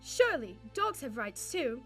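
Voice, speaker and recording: Lady doing a naive excitable girl dog, super high swoopy voice, loud music over it. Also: measured pace. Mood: confused, hurt. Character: eager, naive pet dog, female, easily hurt.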